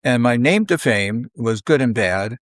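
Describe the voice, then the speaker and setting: An older man reminisces conversationally, in halting, unhurried phrases, often acting out bits of dialogue. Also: mid-range voice, animated, dry recording, studio quality. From South Philadelphia, United States. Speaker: podcast guest.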